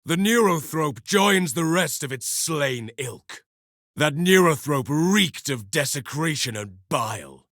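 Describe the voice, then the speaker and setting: An actor playing an eloquent, grimly disdainful space marine with a grandiose manner in a video game. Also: male voice, fairly high pitch, animated, takes it slowly, a bit bright, no echo, clean studio sound. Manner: grandiose, ornate phrasing. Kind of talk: video game combat voice lines.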